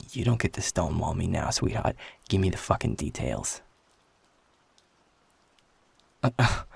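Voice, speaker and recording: Gravelly, low-voiced feisty werewolf guy acted by a man. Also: darkish tone, no echo, full character voice. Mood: irritated, demanding. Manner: swearing, teasing, informal and blunt. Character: feisty, flirtatious male werewolf, gruff and cocky. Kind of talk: intimate audio roleplay, addressed to the listener.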